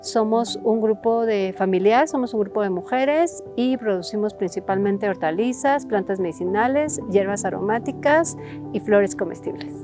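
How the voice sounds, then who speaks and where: A woman's voice: medium pitch, normal speed, music in the background. A woman farmer with a Mexico City accent, talking in a documentary interview.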